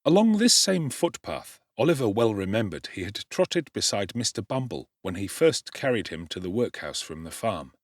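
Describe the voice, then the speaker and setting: A male actor giving an expressive, theatrical reading as an audiobook narrator. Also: fairly low pitch, moderate pace, hardly any pauses, dry recording, clean studio sound.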